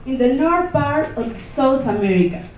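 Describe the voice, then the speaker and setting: A woman's voice: high voice, lively intonation, darkish tone, a little hiss or hum. Distant, echoey woman with a Venezuelan accent. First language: not English. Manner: halting, with many restarts and fillers. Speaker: conference presenter. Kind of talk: unscripted conference talk. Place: conference hall. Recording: echoey, distant, phone-like hall recording.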